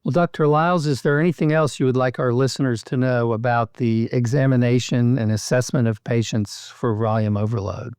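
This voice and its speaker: A man with a smooth, clear voice of medium pitch hosts a medical interview. He speaks as a physician interviewer addressing a guest and listeners. The recording is dry and free of room sound. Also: steady tone, a little fast, hardly any pauses, a little mellow, dry recording. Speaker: interview host, physician editor. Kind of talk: podcast interview hosting.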